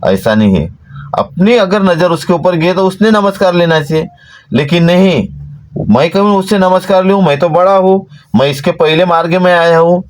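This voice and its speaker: A man, giving an earnest, exhorting devotional talk to followers from inside a parked van. His pitch rises when he stresses a point. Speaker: devotional channel host.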